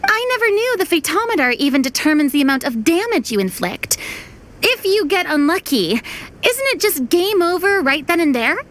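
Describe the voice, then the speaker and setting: Timid girl, extremely high voice. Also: big pitch swings, normal speed, fairly bright tone, heavily acted. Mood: worried. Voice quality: girlish, very high. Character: sweet, timid young girl, hesitant and earnest. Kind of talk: video game voice acting. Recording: music behind the voice.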